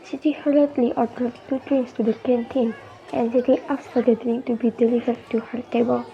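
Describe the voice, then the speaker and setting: A woman's voice: a little high, level tone, fairly quick, creaky. A young woman with an Indonesian accent narrating a radio drama from a script. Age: young adult. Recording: dull lo-fi student recording.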